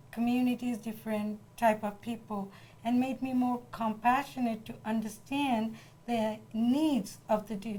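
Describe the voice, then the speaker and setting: A woman with a Bangladeshi accent answers interview questions as a political candidate, speaking earnestly and a little haltingly. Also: a little high, a little mellow, rough recording. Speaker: city council candidate being interviewed.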